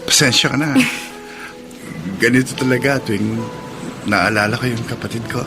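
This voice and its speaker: A man's voice: mid-range voice, moderate pace, natural voice. An actor playing a sensitive, polite young man grieving his sister, in a Filipino radio drama with a Filipino accent. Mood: wistful, sorrowful. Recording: music bed behind the voice.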